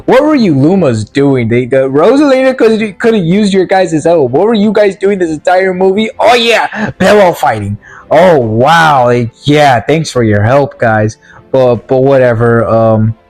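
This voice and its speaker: A man's voice: mid-range voice, darkish tone. A man giving a casual, comedic ranting recap as a video game movie reviewer, rambling and stumbling over his words with exasperation.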